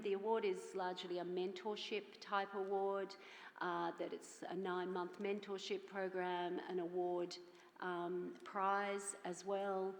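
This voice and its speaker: A woman's voice: fairly low pitch, vocal fry. A woman presenting an award at a conference in an informal, earnest, slightly hesitant way, recorded in a hall. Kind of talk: informal spoken introduction. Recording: echoey hall with long reverb.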